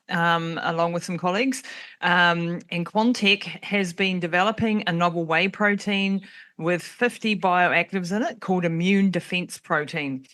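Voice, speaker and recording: A woman's voice: on the low side, bright, forceful tone, clean tone. A woman hosting an interview, chatty and enthusiastic, often laughing as she builds her questions. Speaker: podcast host.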